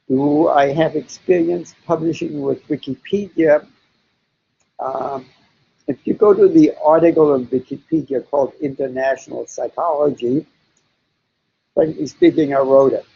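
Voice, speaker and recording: An older man whose first language is German speaks slowly, with a gravelly, husky, mellow voice. He answers questions in a deliberate way, pausing often. The recording is dull and lo-fi. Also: a little high. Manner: slow, deliberate, frequent hesitations. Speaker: interviewee psychologist.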